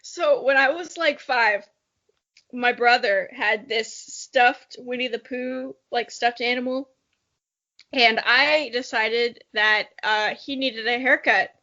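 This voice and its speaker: A woman's voice: a little high, slow pace, pushed and bright, muffled recording. A young adult woman co-hosting a podcast, playful and joking as she reads a childhood story aloud and banters casually. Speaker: podcast co-host.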